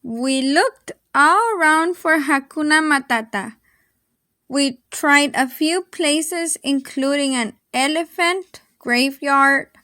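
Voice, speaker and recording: A woman reading a children's story aloud in an expressive, dramatic storytelling manner. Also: sits high, slow pace, no echo. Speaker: storybook reader.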